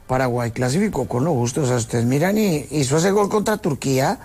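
A man's voice: mid-range voice, a little fast, a little mellow, husky. A man chatting casually as a sports panelist. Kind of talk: casual chat.

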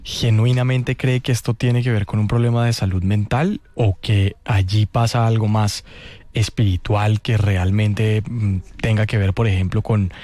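A man co-hosting a radio show, asking questions in a deliberate, hesitant way. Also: fairly low pitch, fairly even intonation, warm tone.

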